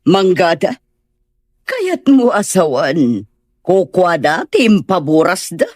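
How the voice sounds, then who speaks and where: Woman acting a suspicious village matron, animated. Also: on the low side, slow pace, no room sound, clean studio sound, full character voice. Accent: Filipino. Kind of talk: Ilocano audio drama acting.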